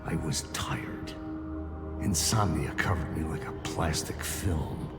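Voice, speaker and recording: An actor playing a weary, brooding hardboiled detective in a game, speaking in a slow, grim deadpan with a gravelly voice. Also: male voice, full character voice. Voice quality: very deep, gravelly. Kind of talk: noir monologue and game drama dialogue. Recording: roomy echo under loud music.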